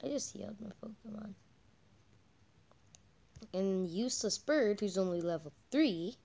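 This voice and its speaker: A woman doing casual, halting game commentary as a channel host, her voice croaky from a cold. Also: mid-range voice, expressive, mellow. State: croaky with a cold, coughing and throat-clearing.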